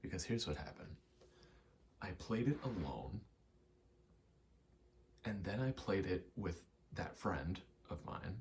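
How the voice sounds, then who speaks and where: A soft-spoken man rambling casually in ASMR style, meandering and murmuring, often dropping toward a whisper. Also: deep voice, big pitch swings, slow, pauses often. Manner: meandering, self-correcting, casually sweary. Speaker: video maker rambling over gameplay. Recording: faint background noise.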